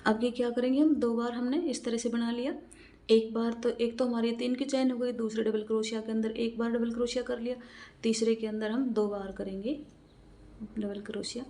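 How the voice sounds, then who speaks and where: Higher-voiced woman giving step-by-step instructions. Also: level tone, brisk, warm tone, slight background noise. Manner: repetitive, instructional, counting aloud. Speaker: craft tutorial instructor. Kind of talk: step-by-step crochet tutorial narration.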